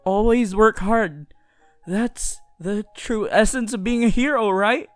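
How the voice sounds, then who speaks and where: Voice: medium pitch, slow, no echo, faint music behind, lightly acted. A performer voicing a shy, earnest, lovestruck teenage boy hero in an anime roleplay, stammering tenderly. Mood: gentle, encouraging. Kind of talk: anime fan audio roleplay addressed to the listener.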